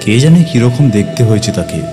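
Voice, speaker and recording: A man playing an earnest, caring village doctor who narrates his own ghost story in a dramatic, expressive way. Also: mid-range voice, fast, close to an everyday voice. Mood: suspenseful narration. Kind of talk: dramatic first-person horror story narration. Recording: loud music behind the voice. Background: music.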